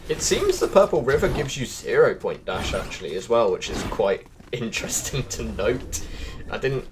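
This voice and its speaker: A man's voice: medium pitch, sing-song, normal speed, background noise. A man giving casual, chatty game walkthrough commentary, addressing his viewers directly as he goes. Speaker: gaming video host.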